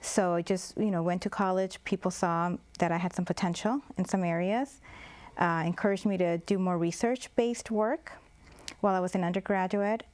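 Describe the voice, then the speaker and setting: A woman's voice: fairly low pitch, steady tone, normal speed, darkish tone. Hesitant, thoughtful woman guest. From Mexico. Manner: thoughtful, hesitant, many fillers and restarts. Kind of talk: public-access TV interview.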